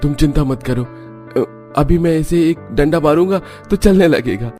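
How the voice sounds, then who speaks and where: A man voicing a kind, apologetic young husband from a poor family in a cartoon, gentle and reassuring. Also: fairly high pitch, lively intonation, a little slow, faint music behind, pitched above the performer's own voice.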